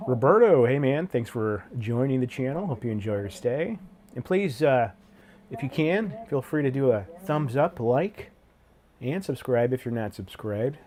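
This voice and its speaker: A stuffed-up man with a cold, a little sniffly, chatting loosely on his live stream. He greets viewers one by one, tossing in dude and man, in a voice of ordinary middle pitch. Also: lively intonation, normal speed, a little mellow, a little hiss or hum. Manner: laid-back, slangy, says dude and man a lot.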